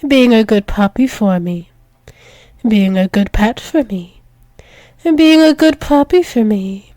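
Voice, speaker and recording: A woman plays a dominant female alpha werewolf demon doting on her lover in an ASMR roleplay. Her voice is fairly high and soft-edged, and she speaks extremely slowly, coaxing and soothing with frequent drops into whispers. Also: dry recording. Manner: coaxing, soothing, slow, often dropping into whispers.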